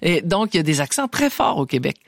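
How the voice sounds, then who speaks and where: A man's voice: on the high side, sing-song, fast talker, creaky, dry recording. A man with a Québécois accent, an interview guest, speaking earnestly and repeating words as he searches for them. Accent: Québécois French. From Quebec. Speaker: guest, preface writer. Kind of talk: conversational interview.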